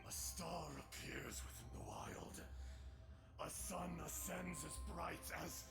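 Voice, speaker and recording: A man voicing an ancient, proud, wrathful earth dragon spirit in a theatrical, grandiose video game performance with a gravelly voice. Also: fairly high pitch, slow, whispered, exaggerated voice. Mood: bewildered, fading. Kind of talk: dramatic video game acting. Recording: loud music under the voice. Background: loud music.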